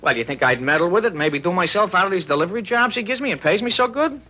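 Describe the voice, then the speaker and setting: A woman's voice: fairly low pitch, expressive, quick pace, bright, forceful tone. A woman playing a streetwise, nervous errand runner for crooks in vintage radio drama, with slangy, stammering speech. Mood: nervous, chummy. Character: jittery, streetwise female delivery runner for crooks. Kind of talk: 1950s radio drama acting. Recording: dull, noisy vintage radio recording.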